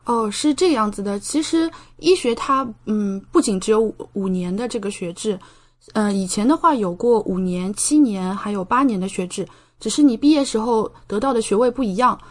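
A young woman speaks as a guest in a conversational interview. Her voice sits at a middle pitch, and she lays out explanations in an informal, step-by-step way. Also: expressive, normal speed. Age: young adult. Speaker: podcast guest, doctor.

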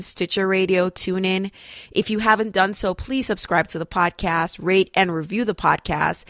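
A woman's voice: on the low side, creaky, a bit bright, dry recording, clean studio sound. Bubbly enthusiastic woman podcast host. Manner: bubbly, enthusiastic, many "you know"s. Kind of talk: upbeat podcast hosting and interviewing.

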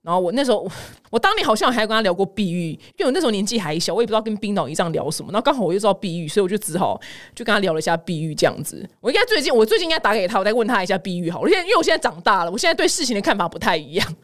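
Taiwanese mandarin woman podcaster, talks fast, pitch bounces all over, candid n chatty. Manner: candid, self-mocking, expressive. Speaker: podcast host of a humorous news show. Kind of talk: casual chatty storytelling to listeners.

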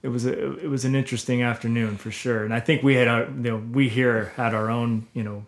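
A man's voice: medium pitch, fairly even intonation, a little fast. A man with a mid-range voice hosts a podcast interview. He asks his questions in an easygoing, casual way, feeling for his words as he goes. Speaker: podcast host.

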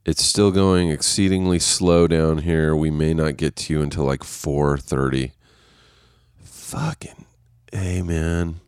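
Man, very deep voice, dry close sound, stuffy nasal tone, rambling profane podcast chatter. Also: steady tone, takes it slowly, darkish tone, smooth, no room sound. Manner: rambling, profane, self-mocking, laughs often. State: congested, nasal. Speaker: podcast host. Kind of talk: casual solo podcast monologue. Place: waiting on a set, recording alone.

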